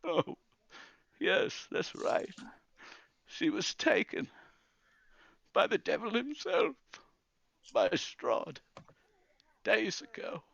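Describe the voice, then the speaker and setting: A man voicing an old wizard, brooding and theatrical, with drawn-out lines in a tabletop fantasy game. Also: mid-range voice, big pitch swings, vocal fry, sharp tone, breathy edge, no room sound, muffled recording, voice pushed up, full character voice. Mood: grieving. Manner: theatrical, drawn-out, swinging between cries and hisses. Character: old bearded wizard, grieving, brooding, quietly menacing.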